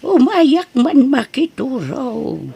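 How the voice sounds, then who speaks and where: A woman's voice: high voice, big pitch swings, takes it slowly, creaky, mellow, husky, full character voice. A woman playing a frail elderly mother who pleads for a place to sleep, in a radio drama. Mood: pleading.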